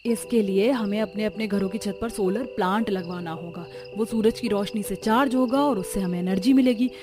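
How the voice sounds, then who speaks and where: A woman voicing earnest, plaintive village housewives and mothers-in-law in a cartoon story, with an expressive, emphatic delivery. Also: mid-range voice, brisk, over music, natural voice. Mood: earnest explaining.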